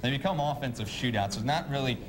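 A man's voice: mid-range voice, fast talker, a crowd in the background, rough recording. A young man hosting a broadcast sports interview in a polished, wordy announcer style. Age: young adult. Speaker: sports show host, interviewer. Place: sports grill, indoors, at a table. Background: clear ambient noise.

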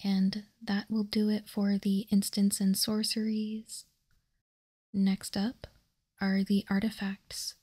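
A woman whispering in a breathy voice as she slowly and deliberately presents trading cards, ASMR style. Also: mid-range voice, monotone, warm tone. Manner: slow, deliberate, reading card text piece by piece. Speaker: host of a trading card ASMR channel. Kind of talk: ASMR whispered card showcase.

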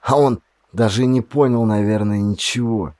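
A man's voice: on the low side, moderate pace, a little mellow, no room sound, studio quality, close to an everyday voice. A man voicing a sick young man in an audiobook, stubbornly stoic and needling his friend. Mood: insistent.

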